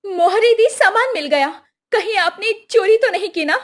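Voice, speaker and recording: A woman's voice: extremely high pitch, animated, moderate pace. A woman playing an anxious, scolding village wife in a cartoon moral story, exclaiming and questioning. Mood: suspicious.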